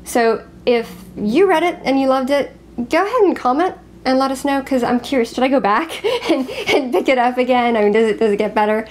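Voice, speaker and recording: A woman co-hosting a casual book chat, talking in an expressive, confiding way. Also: high-pitched, a little fast. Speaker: co-host of a book review show.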